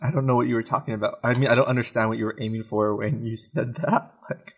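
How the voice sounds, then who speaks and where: A man's voice: mid-range voice, fairly even intonation, fast, husky. Stammering man in casual chat. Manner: hesitant, stammering, repeats himself. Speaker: podcast co-host.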